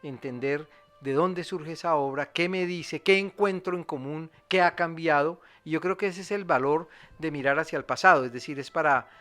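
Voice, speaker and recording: Male theatre commentator, fairly high and very bright voice, discursive and reflective, seeks agreement. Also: normal speed, faint music behind. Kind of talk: reflective spoken analysis.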